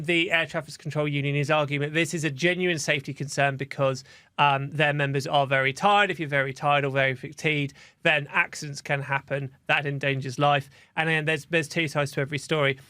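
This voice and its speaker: A man's voice: a little high, fairly quick, sharp tone, clear, resonant tone, no room sound. A man hosting a news podcast in a conversational, discursive style. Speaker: podcast host.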